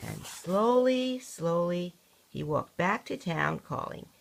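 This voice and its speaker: An older woman reading a children's story aloud as a grandmother would, with deliberate, dramatic emphasis. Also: lowish voice, expressive, creaky, noisy background.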